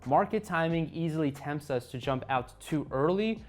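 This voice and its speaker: A man's voice: on the high side, expressive, normal speed. A young man whose first language is Russian, hosting an investing video with upbeat talk and joking asides to viewers. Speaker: investing channel host.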